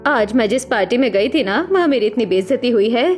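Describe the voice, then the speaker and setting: A woman's voice: on the high side, expressive, brisk, darkish tone, lightly acted. A woman voicing a harsh, status-obsessed middle-aged mother in a cartoon story, nagging with dramatic scorn. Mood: bitter scolding. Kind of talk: Hindi cartoon story voice acting. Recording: music behind the voice.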